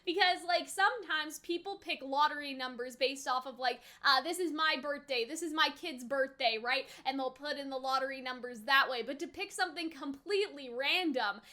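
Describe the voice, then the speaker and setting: A woman in her twenties giving a casual, rambling reaction and review, animated and peppered with filler phrases like "you know". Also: high-pitched, normal speed, hardly any pauses. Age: 20s. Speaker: reaction video host and reviewer.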